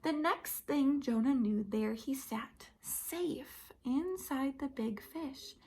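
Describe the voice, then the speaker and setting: A woman storyteller with a fairly high voice reads to small children at a very slow pace. She speaks in simple, repeated phrases and puts questions to her young listeners, with a little creak in the voice. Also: darkish tone, slight background noise. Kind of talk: animated read-aloud for young children.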